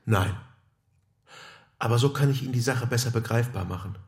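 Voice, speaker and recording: An actor playing a matter-of-fact, probing police detective in naturalistic audio drama dialogue. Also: male voice, mid-range voice, brisk, creaky, slightly rough.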